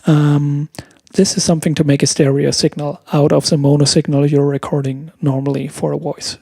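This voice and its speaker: Mellow-voiced man giving a halting tutorial. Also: fairly high pitch, creaky, no room sound. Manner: halting, repeats words while searching for phrasing. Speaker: music producer giving a tutorial. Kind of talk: casual explanatory software demo. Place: room at home, indoors. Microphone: close microphone on a stand.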